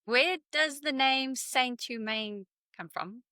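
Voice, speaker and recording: A woman's voice: sits high, slow pace, bright, forceful tone, no echo, studio quality. A woman hosting a question-and-answer interview, friendly and working through prepared questions. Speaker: interviewer host.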